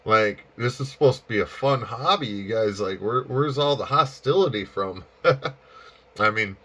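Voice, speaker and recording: Low-pitched folksy man chatting. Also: pushed and bright. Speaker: amateur radio operator and hobby video maker. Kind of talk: casual chat over ham radio.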